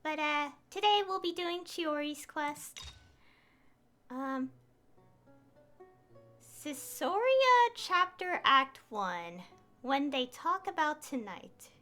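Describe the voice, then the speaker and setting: A woman streamer talks casually over faint game music in a high, lively voice. She is unhurried and a little hesitant as she comments and reads text aloud. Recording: soft music behind the voice.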